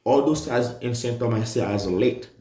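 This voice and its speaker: A man's voice: medium pitch, normal speed, roomy sound. A man, a Creole speaker, giving an emphatic nursing exam review lesson. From Haiti. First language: Haitian Creole. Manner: animated, emphatic, repeats key points.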